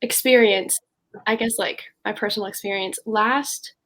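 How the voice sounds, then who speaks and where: A woman's voice: mid-range voice, fairly even intonation, moderate pace, a bit bright, poor audio. A sixteen-year-old girl chatting casually as a podcast guest, hesitant and leaning on the word like. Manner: hesitant, frequent "like". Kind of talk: casual personal chat.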